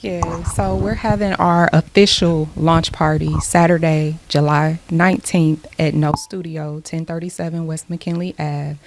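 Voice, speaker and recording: A woman's voice: sits low, little pitch movement, unhurried, hardly any pauses, darkish tone, slight background noise. A woman, a co-founder, listing event details in a matter-of-fact way with fillers. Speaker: app co-founder. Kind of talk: event announcement in an interview.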